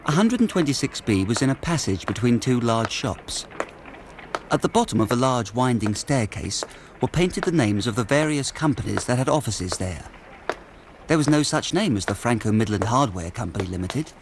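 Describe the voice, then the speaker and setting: A male actor plays an excitable young Victorian clerk with a British accent. His mid-pitched voice moves up and down a lot, polite and earnest, over clear background ambience in a radio play. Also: moderate pace, background noise, lightly acted. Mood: uneasy, narrating. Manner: earnest, polite, eager storyteller. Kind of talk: period British radio drama acting.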